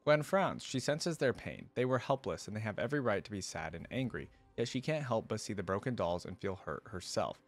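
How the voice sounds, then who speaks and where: A man's voice: fairly low pitch, expressive, fairly quick, few pauses, faint music behind. A man hosting a reaction video, moving between informal, enthusiastic fan commentary and reading a story aloud.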